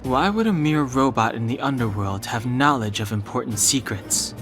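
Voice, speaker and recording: A man's voice: medium pitch, normal speed, a bit bright, soft background music, lightly acted. A man playing a serious, cautious young adventurer in a video game, reserved and matter-of-fact. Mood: cool, cautious. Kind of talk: English game voice acting.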